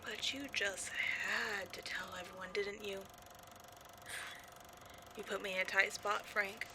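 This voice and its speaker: A woman's voice: mid-range voice, flat delivery, unhurried, pushed and bright, a little hiss or hum, rough recording. A woman playing a petty, theatrical ghost from an old horror film in a scripted comedic audio roleplay, mock-sinister and easily exasperated. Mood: bitter, low.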